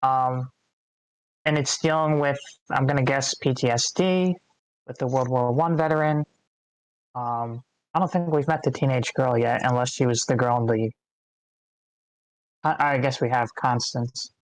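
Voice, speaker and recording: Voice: mid-range voice, steady tone, a little slow, long pauses, no echo, rough recording. A speaker with an American accent giving feedback in casual, rambling chat. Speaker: participant giving script feedback. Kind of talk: casual chat, thinking aloud.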